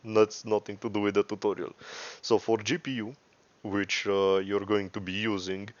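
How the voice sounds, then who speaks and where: A man with a Romanian accent giving a casual, unscripted software tutorial, rambling and hesitant, often correcting himself. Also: lowish voice, little pitch movement, normal speed, no room sound. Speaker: tutorial host. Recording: dry, dull lo-fi microphone.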